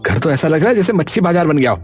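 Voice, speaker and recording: Man playing an exasperated, fast-complaining son. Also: fairly high pitch, very animated, faint music behind. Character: exasperated, sarcastic adult son of village father. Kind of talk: Hindi animated comedy story voice acting.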